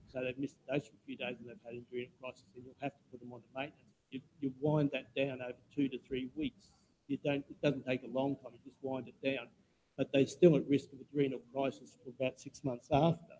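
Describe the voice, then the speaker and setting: A man's voice: mid-range voice, mellow, poor audio. A man, a doctor, explaining clinical practice to colleagues, repeating words as he goes. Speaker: doctor answering a question.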